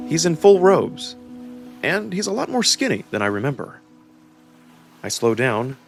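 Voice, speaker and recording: A man narrating a first-person story dramatically, with expressive, measured phrasing. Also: medium pitch, gravelly. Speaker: narrator and performer of a visual novel.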